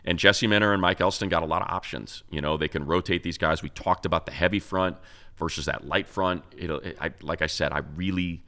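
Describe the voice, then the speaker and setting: A man's voice: low voice, fairly even intonation, a little fast, pushed and bright. A man hosting a sports show, giving casual, emphatic football analysis in conversation.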